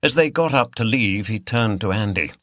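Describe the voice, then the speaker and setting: A man's voice: on the low side, lively intonation, normal speed, fairly bright tone, no room sound, studio quality. A man reading a mystery novel as scripted audiobook narration.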